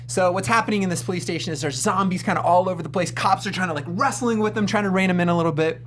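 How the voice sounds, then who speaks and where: A mid-pitched panelist tells a story in casual film-review style. The phrasing is choppy and emphatic, with a faint hum behind the voice. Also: very fast, fairly bright tone.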